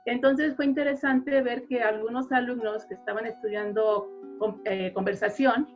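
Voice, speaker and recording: A woman's voice: on the high side, fairly even intonation, normal speed, faint music behind. Woman teacher speaking through muffled audio. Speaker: Spanish teacher. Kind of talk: conversational remarks in an academic panel.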